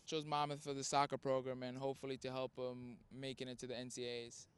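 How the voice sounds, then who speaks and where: A man's voice: medium pitch, monotone, fast talker, smooth. A young man from New Jersey, laid-back, talking into a handheld mic on an outdoor soccer field. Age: young adult. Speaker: college soccer recruit. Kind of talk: casual on-camera interview.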